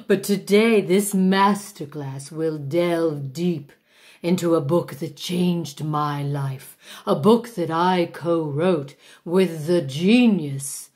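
A woman's voice: sits low, slow pace, darkish tone. A woman playing a pompous, vain older actress who teaches a masterclass in a grand, drawling, self-important manner. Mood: grandiose. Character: pompous aging female stage diva, vain. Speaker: acting teacher in a mock masterclass. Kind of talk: comic character monologue.

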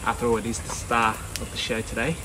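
A man in his forties talking casually while he forages and cooks outdoors, relaxed and chatty with plenty of ums, recorded on a camera mic. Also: medium pitch, fast. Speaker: cooking vlogger. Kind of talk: casual cooking commentary. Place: outdoor garden kitchen and rocky bay shore. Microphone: camera mic at medium distance. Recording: outdoor camera audio with loud ambient noise.

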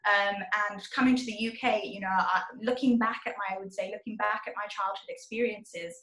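A woman's voice: mid-range voice, fairly even intonation, fairly quick, a bit bright, reverberant, low-quality recording. A young woman from Zimbabwe speaking earnestly as a panelist on a video call, with frequent restarts. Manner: earnest, thoughtful, many restarts.

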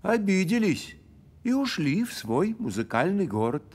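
A man's voice: high voice, big pitch swings, measured pace, a little mellow, breathy edge, exaggerated voice. A man voicing a fussy, dignified cricket in a cartoon, with pompous, theatrical, old-fashioned formal speech. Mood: stern, scolding. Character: fussy, dignified male cricket, keeper of music. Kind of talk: cartoon character acting.

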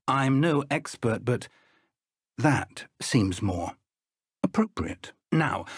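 An actor playing an elderly British wizard professor in a video game, an earnest, encouraging mentor with measured, formal speech and a gravelly voice. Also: male voice, mid-range voice, no echo, studio quality. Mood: quiet, grave, then measured, serious. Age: older. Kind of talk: video game character dialogue.

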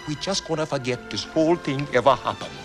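An actor playing a fretful, self-preserving little crab courtier in an animated film. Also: male voice, medium pitch, brisk, faint music behind, natural voice. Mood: nervous, conspiratorial.

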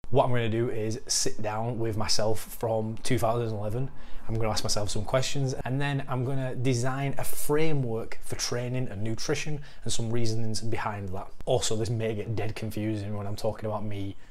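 A man's voice: lowish voice, steady tone, fairly quick, slight background noise. A young man with a Northern English accent, a fitness coach talking candidly to camera about training. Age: young adult. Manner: candid, self-deprecating, explanatory. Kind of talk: casual talk to camera. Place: living room at home. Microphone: lapel mic.

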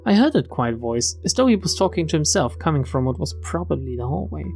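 A woman reads visual novel text aloud as a streamer. Her delivery is expressive narration, following the story's inner thoughts. Game music plays behind her voice. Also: low-pitched, brisk.

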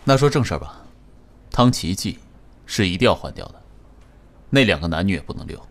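An actor playing a composed, calculating, wealthy chairman in a radio drama, measured and formal. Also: sits low, very animated, exaggerated voice. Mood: calm, dry. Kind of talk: Chinese radio drama acting.

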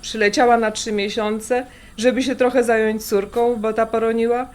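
A woman's voice: a little high, flat delivery, normal speed, close to an everyday voice. A woman playing a wistful, prickly middle-aged artist in a naturalistic radio drama, sighing and a little defensive. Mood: chatty. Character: wistful, prickly artist, sensitive elder-daughter type. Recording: background noise of a kitchen scene. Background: kitchen clatter, pots and dishes.

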